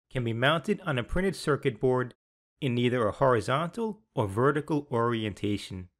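Guy doing a steady scripted voice-over for a tech tutorial, middle pitch, calm and even. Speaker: sales and applications engineer. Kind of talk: scripted technical narration. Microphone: voice-over over slides and software screens.

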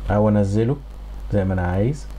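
A middle-aged man with an Egyptian accent giving a casual software tutorial as an audio engineering instructor in a small home studio. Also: lowish voice, very fast, very noisy.

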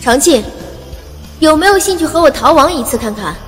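A woman voicing a bold, loyal young heroine in an audio drama, talking fast and high. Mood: playful. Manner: quick, lively and high. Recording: long reverb, soft music behind.

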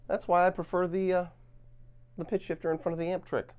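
A man in his forties, a guitar channel host, talking casually through a gear demo in a relaxed, rambling way with lots of fillers and a lingering cough. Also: high-pitched, a little fast, clean tone. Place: home studio with guitars on wall.

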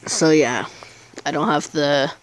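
A man's voice: fairly high pitch, steady tone, takes it slowly, slight background noise, low-quality recording. A teenage boy chatting casually over his gameplay video, rambling and hesitant, with many fillers. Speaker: gaming YouTuber.

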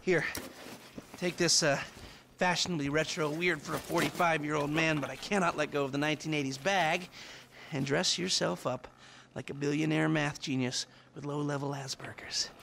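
A nerdy American hacker in his forties for a game cutscene. His voice sits fairly high, and he rants in long, sardonic, foul-mouthed sentences. A faint noise runs behind him. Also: steady tone, moderate pace, vocal fry, a bit bright. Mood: gleeful scheming. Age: 40s. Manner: sardonic, wordy, ranting. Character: bitter, foul-mouthed, nerdy middle-aged male hacker. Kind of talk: video game cutscene acting.

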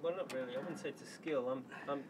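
A man's voice: medium pitch, quick pace, background noise. A man in his forties chatting casually in a jokey, emphatic way, often repeating himself for effect. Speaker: guest sailor on a boat vlog. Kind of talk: casual chat. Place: on a catamaran at anchor, cabin and open deck.

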